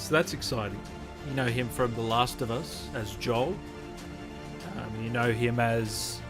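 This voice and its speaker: A man in his late twenties hosting a gaming livestream, chatting informally with viewers and guests. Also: mid-range voice. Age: late 20s. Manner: informal, blokey, addresses viewers as guys. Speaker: host of a gaming livestream show. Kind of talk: casual livestream chat and interview.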